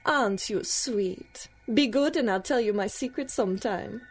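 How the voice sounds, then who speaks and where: Measured, enigmatic voodoo priestess, played by an actress. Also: female voice, fairly high pitch, slight background noise, close to an everyday voice. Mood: teasing, guarded. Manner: measured, formal, knowing. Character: ageless, enigmatic voodoo priestess, proud, worldly and teasing. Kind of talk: 1930s-style radio drama acting.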